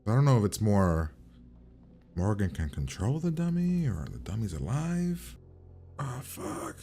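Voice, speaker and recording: A man's voice: lowish voice, big pitch swings, unhurried, dark tone, faint music behind. A man giving casual, profane reaction commentary in a blunt, slangy way. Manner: blunt, slangy, swears constantly. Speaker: host reacting to a TV episode.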